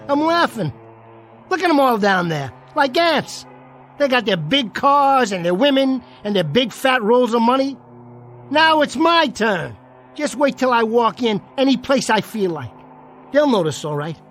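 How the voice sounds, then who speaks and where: Casting: undersized American jockey, high voice, slangy, defiant and boastful, radio drama with music behind. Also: male voice, fairly bright tone. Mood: boastful, exultant. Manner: streetwise slangy tough talk, defiant. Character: bitter, boastful small man, a disgraced jockey.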